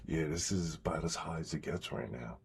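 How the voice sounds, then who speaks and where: A young baritone man streaming live, talking casually and bluntly with a strained, sore voice after throat surgery, sometimes slipping into a whisper. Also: brisk, gravelly, husky. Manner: blunt and profane, drops to a whisper at times.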